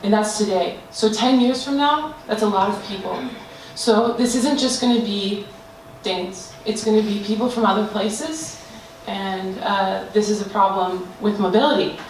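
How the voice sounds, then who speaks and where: A woman's voice: medium pitch, moderate pace, hardly any pauses. A woman presents a group design project. She talks hesitantly, with frequent fillers and restarts. She is captured from far off in a very echoey room, with faint noise behind her. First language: not Danish. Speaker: student presenter.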